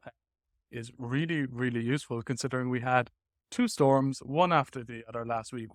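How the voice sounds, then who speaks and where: A man hosting a casual tech podcast chat, with hesitant, halting phrasing. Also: medium pitch, no room sound, studio quality. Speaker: podcast host.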